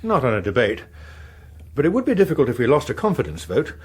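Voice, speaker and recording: A man's voice: mid-range voice, wildly swooping pitch, quick pace, slightly rough. An actor playing a harried, wry middle-aged English politician and father, speaking drily and articulately in a radio drama. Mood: wry, weary. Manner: dry, exasperated, articulate. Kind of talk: British radio drama acting.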